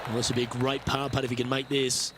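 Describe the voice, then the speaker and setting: A man's voice: mid-range voice, little pitch movement, fast talker, background noise, close to an everyday voice. A man voicing a composed golf broadcast anchor in a video game, calling play as lead commentator. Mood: composed, upbeat.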